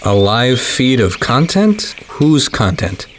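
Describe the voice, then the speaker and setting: A man's voice: medium pitch, very animated, takes it slowly, slight background noise. An actor playing a wary, blunt middle-aged man answering a strange phone call. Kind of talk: audio drama acting.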